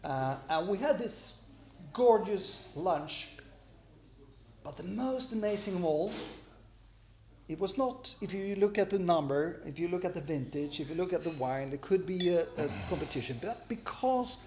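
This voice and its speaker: A man hosting a champagne interview over lunch in a restaurant, relaxed and agreeable, with many "yeah"s. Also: sits high, a little slow, slight background noise. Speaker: host, champagne club organizer. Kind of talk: casual interview chat.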